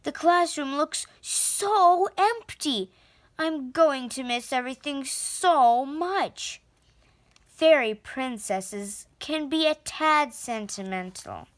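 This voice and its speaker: A woman voicing a dramatic, sentimental little girl fairy princess in an expressive storybook read-aloud. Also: sits high, slow, no room sound. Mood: wistful. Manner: expressive, exclamatory.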